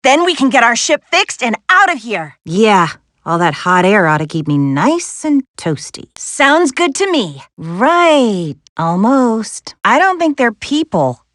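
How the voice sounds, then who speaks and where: Spirited, high-voiced young American adventurer. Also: female voice, sing-song, a little slow, fairly bright tone, no room sound, clean studio sound. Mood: upbeat, encouraging, then dry, sardonic. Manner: wry, sassy, instructive. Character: spirited, capable young woman adventurer, wry and encouraging. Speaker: video game character voice actress. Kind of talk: animated video game voice lines.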